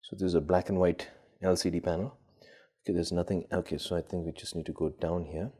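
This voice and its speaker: A man with a low, dark and slightly husky voice talks through a casual hands-on demo. He sounds hesitant and unscripted, as if thinking aloud while he works. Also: fairly even intonation, fast talker. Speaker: gadget collector and video host.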